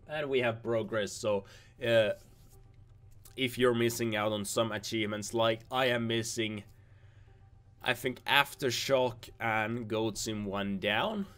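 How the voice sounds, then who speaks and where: A young man, a little hoarse from losing his voice, giving casual rambling commentary over game footage, repeating himself and restarting words. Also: fairly low pitch, fairly bright tone. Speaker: gaming video host.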